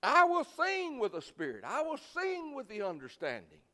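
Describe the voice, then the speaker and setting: A man preaching a sermon in a folksy, plainspoken, emphatic way, with a loud voice. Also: very high-pitched, wildly swooping pitch, normal speed. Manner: folksy, plainspoken, emphatic, swinging from quiet asides to loud exhortation. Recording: reverberant hall.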